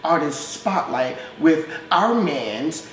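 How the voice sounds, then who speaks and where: A man's voice: on the high side, big pitch swings, slow. Slangy male interviewer in an echoey room. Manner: slangy, affirming, informal with swearing. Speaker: show host and DJ. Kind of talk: casual interview. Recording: echoey room, faint background noise.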